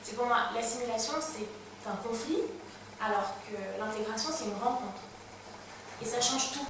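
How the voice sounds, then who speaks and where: A woman whose first language is Arabic speaks thoughtfully on a panel and repeats words as she searches for them. Her voice sits a little high. It is picked up from far off in a very echoey hall over steady noise. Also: normal speed. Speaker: stage director on a panel. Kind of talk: reflective panel talk.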